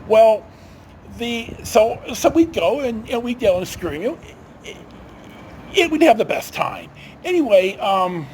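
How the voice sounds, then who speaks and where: Older-ish guy telling rambly stories, kinda high voice, halting, some noise behind him. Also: big pitch swings, a little mellow. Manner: halting, often breaking off and circling back. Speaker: storyteller host. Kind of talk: casual rambling personal storytelling.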